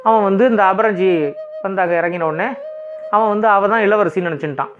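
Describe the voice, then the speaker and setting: A man's voice: high voice, a little fast. A man narrating a children's bedtime story informally, in simple conversational language. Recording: thin phone-like sound, music behind.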